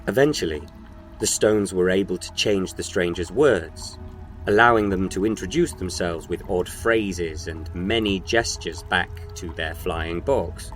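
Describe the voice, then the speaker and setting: A man narrating a scripted story as a solemn, measured storyteller. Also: low voice, fairly bright tone, soft background music, poor audio.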